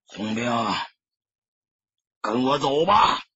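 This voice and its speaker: A man voicing a grave, weary old father in an audiobook, hoarse, terse and subdued. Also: mid-range voice, lively intonation, very slow, bright, forceful tone, dry recording, highs cut off, heavily acted.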